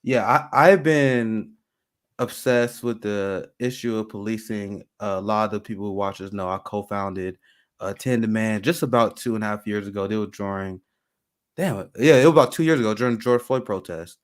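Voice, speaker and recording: Halting male host of a live show. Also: on the low side. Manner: halting, many restarts.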